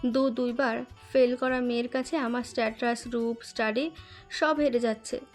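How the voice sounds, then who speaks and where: Lady doing a whiny teary jealous daughter, highish voice, music behind. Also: fairly even intonation, moderate pace, natural voice. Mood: tearful, jealous. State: tearful. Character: tearful, jealous young daughter complaining to mother. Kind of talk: audio story acting.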